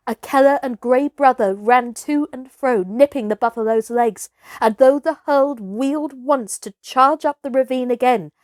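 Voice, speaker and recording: A woman reading a children's adventure story aloud as an expressive narrator. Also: medium pitch, dry recording, clean studio sound. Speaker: storyteller, audiobook reader.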